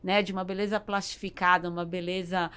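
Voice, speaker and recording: A woman with a Brazilian accent answering as a podcast guest in a conversational, halting way, with repeats and tag questions. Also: fairly low pitch, flat delivery, a bit bright, clear, resonant tone. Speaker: podcast guest, salon business owner.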